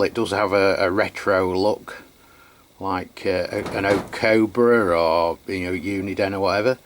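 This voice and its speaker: An Englishman giving an unscripted product review and demonstration, chatty and matter-of-fact, with a halting delivery. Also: low-pitched. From England. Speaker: radio reviewer.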